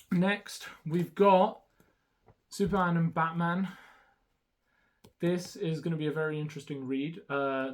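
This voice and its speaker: A young man whose first language is Romanian, talking casually and hesitantly through a haul with many ums and restarts. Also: a little high. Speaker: YouTuber showing a haul.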